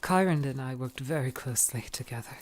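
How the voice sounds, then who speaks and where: A man acting as a wounded, exhausted centaur envoy in a fantasy audio drama, formal and respectful, speaking haltingly with strain. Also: mid-range voice, a little hiss or hum, lightly acted. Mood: weary.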